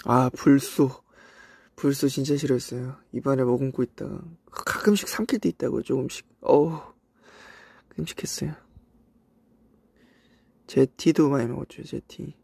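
A young man hosts a voice-only livestream, chatting and reminiscing with a faint Cheongju accent. His voice is mellow and gravelly, with a husky edge, recorded dry and close. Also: mid-range voice, moderate pace, long pauses, dry recording. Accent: Cheongju dialect traces in Korean. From South Korea. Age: young adult. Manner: relaxed, reminiscing, soft asides. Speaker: idol livestream host. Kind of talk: casual livestream chat reading comments. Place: indoors, voice-only stream.

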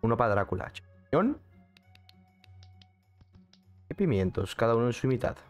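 A man's voice: medium pitch, sing-song, fast talker, faint music behind. A young man with a Mexican accent, streaming a game and chatting in a casual, jokey way while answering his chat. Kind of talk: casual gaming commentary.

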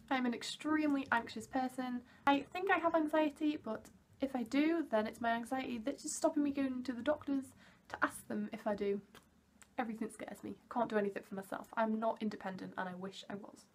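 A young woman in her early twenties, from Wales, chats to camera from her bedroom in a high, bright voice. She rambles cheerfully and laughs at herself often. A faint background hum sits under the recording. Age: early 20s. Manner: chatty, giggly, self-deprecating. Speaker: vlogger. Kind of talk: casual chat to camera. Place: bedroom, indoors. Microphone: camera at arm's length.

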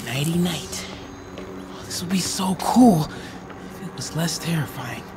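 A man's voice: a little high, slow pace, noisy background. A man playing an earnest, nervous teenage boy in a video game, speaking casually and youthfully. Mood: tense, muttering. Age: young adult. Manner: casual, youthful muttering to himself. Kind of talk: naturalistic video game acting.

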